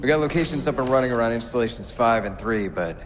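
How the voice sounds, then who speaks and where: A man's voice: mid-range voice, lively intonation, quick pace, big-room sound, soft background music, lightly acted. A man playing an earnest, friendly naval officer in a video game cutscene.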